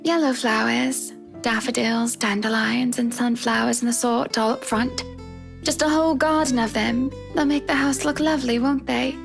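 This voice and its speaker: A woman voicing a polite, wistful married woman in a visual novel, gently resigned as she speaks. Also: fairly high pitch, fairly even intonation, normal speed, vocal fry, soft background music, pitched above the performer's own voice. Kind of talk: acted reading of visual novel dialogue.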